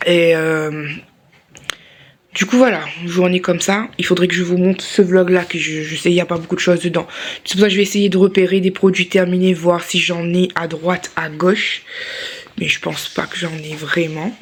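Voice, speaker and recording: A woman's voice: on the low side, fairly even intonation, moderate pace, slightly rough. A woman with a sore throat, chatting casually to camera in a rambling vlog with many restarts. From Réunion. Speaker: vlogger.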